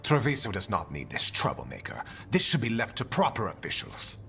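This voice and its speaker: An actor playing a haughty, officious city official in a fantasy video game, pompous and disapproving. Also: male voice, fairly low pitch, wildly swooping pitch, a little fast, creaky, pushed and bright, exaggerated voice. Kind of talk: video game dialogue acting. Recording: game music underneath.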